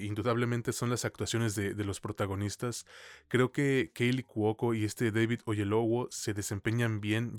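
A Mexican man with a fairly low voice co-hosts a film podcast. He reviews in a casual, conversational way with plenty of informal slang and mild swearing. The sound is dry, with very little room. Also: dry recording. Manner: informal, slangy, mildly profane.

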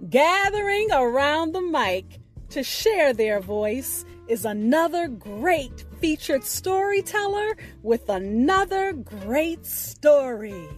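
A woman hosting a radio show, reading a scripted introduction in a theatrical, drawn-out and emphatic way. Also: high voice, very animated. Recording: music bed under the voice. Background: music.

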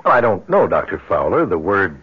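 An actor playing a formal, detached physician in an old radio drama, speaking precisely. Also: male voice, deep voice, big pitch swings, fairly quick, husky, full character voice. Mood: measured, clinical. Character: dry, measured, clinical physician. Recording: dull old radio recording.

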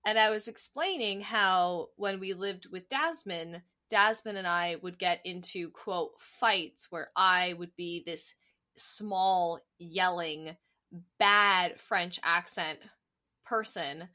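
Playful lady podcast host, bright tone, takes her time, laughs a lot. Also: lowish voice, slow pace. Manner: giggly, playful. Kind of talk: casual chat.

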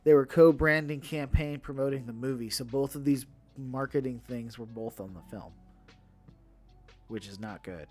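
A man's voice: medium pitch, normal speed, a little mellow, soft background music. A man co-hosting a podcast in a casual, conversational style, often restarting and repeating his phrases as he goes. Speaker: podcast co-host. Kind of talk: casual chat about entertainment news.

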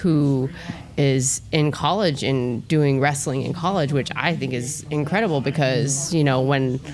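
A woman's voice: a bass voice, measured pace, a little mellow. A woman in her thirties from New Mexico, a podcast guest talking earnestly with many fillers. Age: 30s. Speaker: gym staff member, guest. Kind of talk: casual podcast talk.

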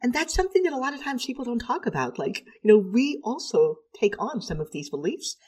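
A woman's voice: on the high side, sing-song, a little fast, a little mellow, breathy edge. A middle-aged woman from Trinidad, a clinical psychologist, telling her story in a reflective, articulate way.

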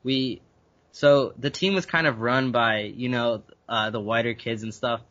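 A man's voice: medium pitch, steady tone, unhurried, fairly bright tone. Earnest young man, slightly muffled. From Guyana. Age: young adult. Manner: earnest, repeats words while thinking. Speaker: university student guest. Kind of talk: conversational interview answers.

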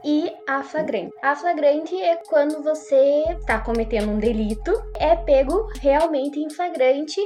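A young woman with a high voice and a Brazilian Portuguese accent. She speaks as an informal expert guest, laying out explanations step by step, with faint music underneath. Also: unhurried, few pauses, warm tone. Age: young adult. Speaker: podcast guest, criminal defense lawyer. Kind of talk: casual explanatory conversation.

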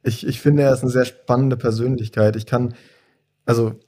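A young adult man co-hosting a podcast, chatting casually about films in a measured, thoughtful way with frequent hesitations. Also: medium pitch, little pitch movement, mellow, slight background noise. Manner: measured and thoughtful, hedging with fillers. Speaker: podcast co-host. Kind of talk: casual film-discussion chat.

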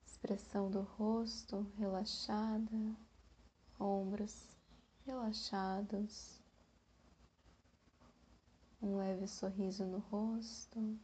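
A woman with a Brazilian Portuguese accent guiding a meditation, speaking gently and leaving long pauses between phrases. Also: mid-range voice, little pitch movement, a little hiss or hum, rough recording. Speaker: meditation guide. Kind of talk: guided meditation.

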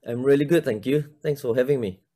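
Male guest, 40s, from Malaysia; mellow, hesitant church minister, heavy on fillers, over a video call. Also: medium pitch, fast talker. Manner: hesitant, many fillers and restarts. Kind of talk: casual interview talk.

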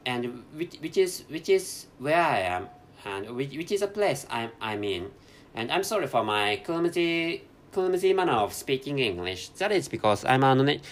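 A man with a Japanese accent hosting a solo podcast from a hotel room, speaking English slowly and carefully, with constant restarts and self-corrections. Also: medium pitch, slight background noise. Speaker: podcast host. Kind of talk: unscripted solo podcast monologue.

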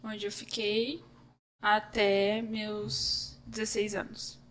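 A woman's voice: medium pitch, little pitch movement, takes it slowly, fairly bright tone. A woman from São Paulo state with a Brazilian Portuguese accent, answering interview questions in a slightly hesitant way. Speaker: guest, music teacher. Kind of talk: casual interview.

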